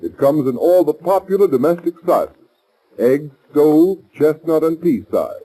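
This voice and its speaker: A man's voice: fairly high pitch, animated, measured pace, mellow, rough recording. An American man announcing a 1930s radio advertisement, polished, formal and emphatic. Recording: old 1930s radio broadcast recording.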